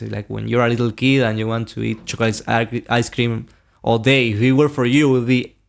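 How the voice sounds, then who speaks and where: Gravelly-voiced man musing on self-help. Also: medium pitch. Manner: earnest, repeats key points for emphasis. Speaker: podcast host. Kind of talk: reflective self-help monologue.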